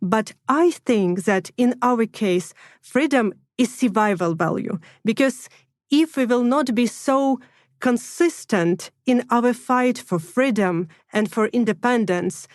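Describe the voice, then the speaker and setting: A Ukrainian woman, a human rights lawyer, speaking English with a Ukrainian accent in a measured, deliberate interview answer. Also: on the high side, expressive, no echo, studio quality. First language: Russian. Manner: measured, deliberate, restarts words while building points.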